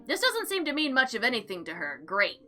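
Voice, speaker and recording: Bright, high-pitched, very animated woman gamer. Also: brisk, faint music behind. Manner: expressive, slangy, sarcastic asides. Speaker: gaming video host. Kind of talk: animated let's play, reading game text aloud with casual commentary.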